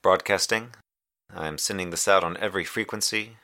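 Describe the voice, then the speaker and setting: A man playing a lonely, guilt-ridden communications officer stranded on a moonbase, speaking a halting, brooding distress log. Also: fairly even intonation, background noise. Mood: weary, formal. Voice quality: low, gravelly. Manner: halting, brooding, drifting into recited poetry. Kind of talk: audio drama distress-log monologue.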